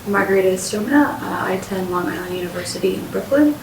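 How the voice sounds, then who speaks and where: A woman's voice: mid-range voice, lively intonation, fast. A woman student from Texas asking eager questions in a casual podcast chat. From Houston, Texas. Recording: echoey room, distant mic, clear hiss.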